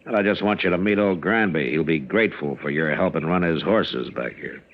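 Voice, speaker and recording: An actor playing a stern, commanding Old West marshal in a radio western, speaking in a terse, authoritative way. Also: male voice, low-pitched, fairly quick, lightly acted. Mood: gruff command. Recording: muffled, noisy old radio recording.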